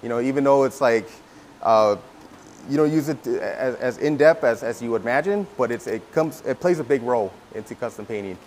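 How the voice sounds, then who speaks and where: A man in his thirties from California, a custom painter teaching a class in a relaxed way, repeating words and filling pauses, on a lapel mic indoors. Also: mid-range voice, a little hiss or hum. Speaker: guest instructor, custom painter. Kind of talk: casual workshop teaching. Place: indoor event room before a backdrop.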